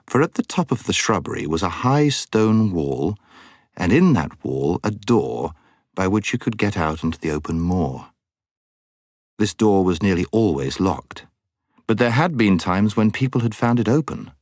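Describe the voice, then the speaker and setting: Deep-voiced English man narrating a storybook. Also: very animated, normal speed, a little mellow, dry recording, studio quality. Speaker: audiobook narrator. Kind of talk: expressive scripted storybook narration.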